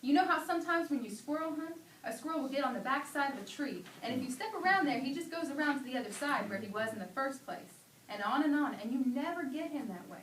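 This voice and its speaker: A woman with a very high voice reads a sermon dramatically and earnestly, drawing out phrases for emphasis. She sounds far off in an echoey hall, with faint noise behind her. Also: expressive, quick pace, few pauses, a bit bright. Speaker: student performer reading a dramatic sermon. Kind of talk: dramatic staged reading of a sermon.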